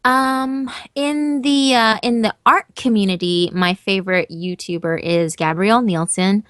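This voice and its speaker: A woman who narrates horror stories, chatting as an interview guest in an animated, emphatic way and swearing casually. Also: mid-range voice, measured pace, no echo, clean studio sound.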